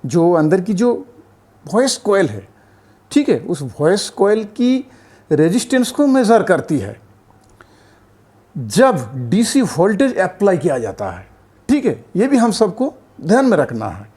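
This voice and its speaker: High-pitched, animated man explaining step by step. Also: a little slow, pauses often, breathy edge. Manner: repetitive, checks in with frequent tag phrases. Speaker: tutorial presenter. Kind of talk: explanatory electronics tutorial.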